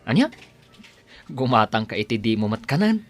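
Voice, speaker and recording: A man's voice: fairly low pitch, sing-song, moderate pace, faint music behind. A man with a Filipino accent playing a chatty, teasing, obliging office underling in a radio comedy-drama.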